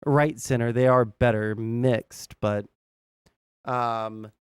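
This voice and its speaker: A man's voice: medium pitch, fairly even intonation, slow pace, smooth, no room sound. A young American man giving casual, rambling, blunt political commentary as a livestream host, swearing freely. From southern United States.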